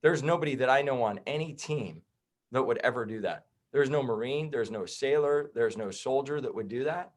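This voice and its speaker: A man's voice: mid-range voice, normal speed. A man in his thirties, a chiropractor guesting on a podcast, talking bluntly and heatedly in casual chat. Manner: blunt, heated, swears and says bro.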